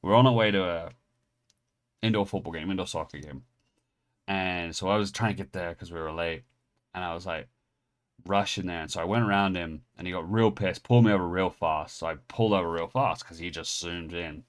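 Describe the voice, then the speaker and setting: A deep-voiced man in his thirties with an English accent that has picked up American edges. He rambles through an anecdote, then opens card packs, cheerfully calling himself clumsy. His talk wanders, restarts and doubles back like easy chat with viewers. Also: fairly quick. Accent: English, partly Americanized. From England. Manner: rambling, self-mocking, restarts often. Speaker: pack-opening channel host. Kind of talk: casual storytelling and card unboxing.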